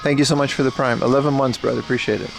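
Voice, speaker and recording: A man's voice: mid-range voice, racing, creaky, music in the background. Chatty young man streaming from a shop. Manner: excited, chatty, thanks viewers often. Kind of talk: casual IRL livestream chat. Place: indoors in a secondhand shop. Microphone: handheld phone.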